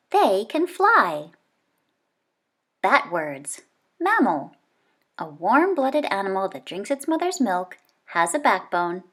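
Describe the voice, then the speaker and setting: Animated woman reading aloud to children. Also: mid-range voice. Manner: expressive, clearly phrased delivery for young children. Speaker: children's book reader. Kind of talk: read-aloud of a children's nonfiction book.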